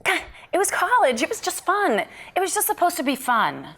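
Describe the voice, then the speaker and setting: A woman's voice: very high-pitched, wildly swooping pitch, brisk, fairly bright tone, heavily acted. An actress at a table read playing a guilty, conflicted woman, speaking hesitantly in broken phrases. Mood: pleading. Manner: hesitant, breaking phrases apart. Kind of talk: read-through of a stage drama script. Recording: clearly noisy room recording.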